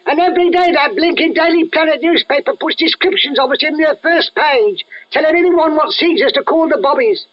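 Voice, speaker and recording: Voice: high voice, brisk, few pauses, close to an everyday voice. An actor playing a sly, nervous little underworld henchman with a Cockney accent and a whiny, wheedling manner in 1940s radio style. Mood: uneasy. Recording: muffled vintage radio recording.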